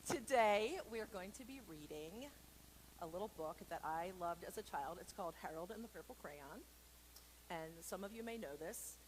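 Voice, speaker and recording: A woman's voice: lowish voice, expressive, normal speed, low-quality recording. A woman leading an informal children's storytime for a church, playful and extroverted, chatting in rambling, self-deprecating asides. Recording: noticeable background noise.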